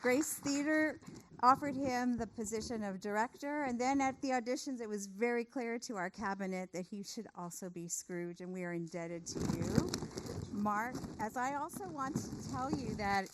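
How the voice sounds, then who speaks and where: A woman's voice: fairly high pitch, normal speed, background noise. A woman, a theater producer giving a speech of thanks to a cast and crew.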